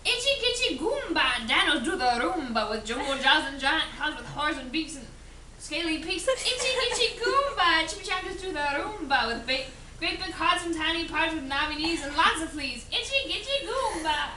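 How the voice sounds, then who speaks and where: A woman's voice: sits high, very animated, normal speed, sharp tone, voice pushed up, exaggerated voice. A woman voicing a chorus of rowdy little male chihuahua dogs who chant in a sing-song way. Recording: echoey room from a distance.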